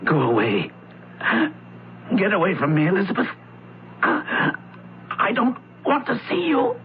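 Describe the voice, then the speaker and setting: A man's voice: high voice, huge pitch swings, slow pace, exaggerated voice. An actor playing an anguished, lovesick and frightened male hospital patient in a radio mystery drama, pleading melodramatically. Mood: panicked. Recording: lo-fi old radio broadcast with hum.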